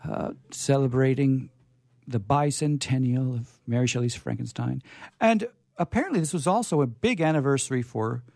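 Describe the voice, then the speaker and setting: A man's voice: medium pitch, normal speed, vocal fry, a little mellow, no echo. A man hosting a radio show, reading short comic stories aloud and chatting in a playful, theatrical way from a studio.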